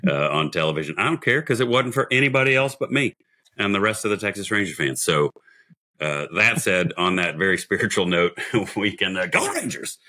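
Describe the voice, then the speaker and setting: A man hosting a podcast, his voice scratchy from days of shouting, talking in a jovial, teasing, rambling way. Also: low voice, big pitch swings, brisk. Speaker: podcast host. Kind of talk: casual podcast chat.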